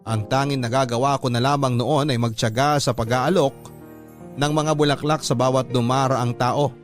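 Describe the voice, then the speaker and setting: A man's voice: mid-range voice, fairly even intonation, normal speed, faint music behind, lightly acted. A man narrating a heartfelt letter as scripted radio drama, earnest and reflective, recalling humble days of hard work. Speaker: narrator reading a listener's letter.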